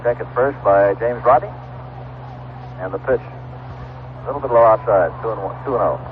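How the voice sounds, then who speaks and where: A man's voice: on the low side, normal speed, phone-quality sound. Man calling baseball on noisy vintage radio. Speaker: baseball play-by-play announcer. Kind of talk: live radio baseball commentary with ad reads.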